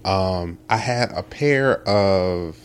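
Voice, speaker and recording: A man in his early thirties chatting casually and comically, telling stories in an animated, self-deprecating way. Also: deep voice, drawn-out words, smooth. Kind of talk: casual comedic chat.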